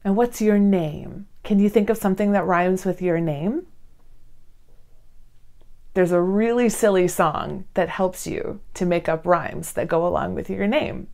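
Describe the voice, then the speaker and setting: A woman, around her thirties, talking and reading to young children in an expressive, emphatic way. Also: fairly low pitch, unhurried. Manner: animated, emphatic, addressing children directly. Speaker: children's read-along host. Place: indoor room, seated before a plain blue wall.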